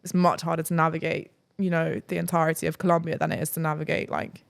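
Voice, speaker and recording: A woman's voice: low voice, level tone, fast talker, creaky. A young woman from England chatting casually as a podcast guest, rambling with lots of fillers and easy laughter. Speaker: travel vlogger guest.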